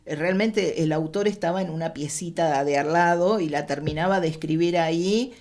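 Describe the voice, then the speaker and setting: Woman, Argentine accent, slightly low pitch, conversational storytelling, interview guest. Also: moderate pace, darkish tone. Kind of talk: conversational storytelling about radio history.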